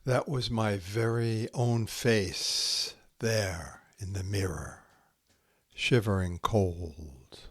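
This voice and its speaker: A man reciting short poems as a host, in a measured, deliberate way with short, spare phrases. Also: fairly low pitch, slightly rough, no room sound. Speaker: podcast host reading poetry. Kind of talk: slow, spare haiku recitation.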